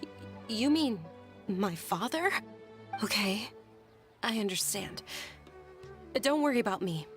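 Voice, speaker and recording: A woman playing a polite young noblewoman in a video game, gentle, caring and attentive, with a soft way of speaking. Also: mid-range voice, expressive, takes it slowly, pauses often, soft background music. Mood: grave.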